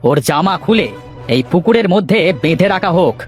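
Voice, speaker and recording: A man's voice: sits high, lively intonation, brisk. A man voicing a stern, authoritative village headman in a cartoon, with music underneath. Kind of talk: cartoon character dialogue.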